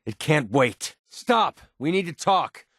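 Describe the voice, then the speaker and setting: An actor playing a guarded, wounded mercenary in a video game, sarcastic and quick-tempered but loyal, speaking in a plain, earnest, edgy way. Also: male voice, on the high side, big pitch swings, fairly bright tone, dry recording, studio quality, full character voice. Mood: exasperated, confrontational. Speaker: companion character in a video game. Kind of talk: naturalistic video game voice acting.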